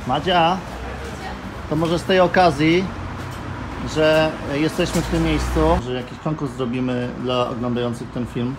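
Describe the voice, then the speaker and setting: A man filming and narrating a casual vlog outdoors, showing a street with relaxed tour-guide patter. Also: a little high, measured pace. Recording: noisy street with traffic.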